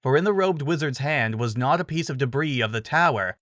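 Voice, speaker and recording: A male narrator reads a dramatic fantasy story from a script. His voice sits at a middle pitch, and the sound is dry with no room echo. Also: animated, a little fast, a bit bright.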